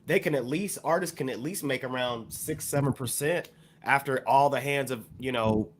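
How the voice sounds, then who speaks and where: A man with an American accent co-hosting a hip hop podcast, explaining points in a measured way with plenty of "you know". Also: mid-range voice, slight background noise. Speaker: podcast co-host.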